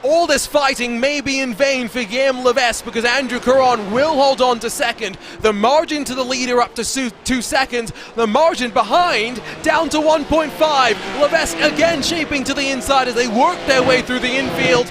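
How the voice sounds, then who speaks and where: High-pitched male play-by-play commentator. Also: moderate pace, few pauses, a little hiss or hum. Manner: fluent, excitable play-by-play with playful banter. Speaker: sim racing lead commentator.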